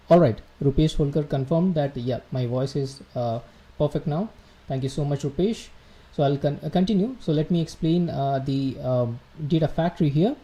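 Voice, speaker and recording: A man teaching a live online class, working through technical ideas at an unhurried pace with plenty of fillers and self-corrections. His voice is deeply mellow and dark in tone. He pauses to ask viewers whether they can hear him. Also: poor audio. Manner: explains slowly with many fillers and restarts, checks in with viewers. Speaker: livestream instructor. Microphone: computer mic, quiet at first then turned up.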